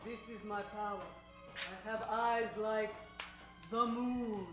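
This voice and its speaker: A woman's voice: medium pitch, fairly even intonation, takes it slowly, fairly bright tone, faint music behind. Woman playing an imperious, seductive Russian superheroine, roomy sound. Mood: hypnotic, seductive. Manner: theatrical, grandly commanding. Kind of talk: improvised old-time radio parody acting.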